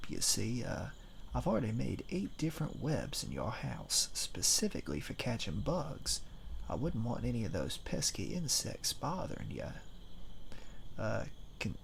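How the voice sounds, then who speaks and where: A man's voice: mid-range voice, exaggerated voice. A man voicing a bashful, lovesick, creepy spider monster in an ASMR roleplay, stammering and apologetic with overly formal, polite wording. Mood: reassuring, sheepish. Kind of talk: ASMR roleplay, scripted monologue. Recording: clear ambient background noise.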